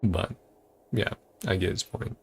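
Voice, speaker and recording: A man's voice: sits low, measured pace, creaky, slight background noise. A man giving casual gameplay commentary, musing aloud and paraphrasing story dialogue in short clipped phrases. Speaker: game streamer.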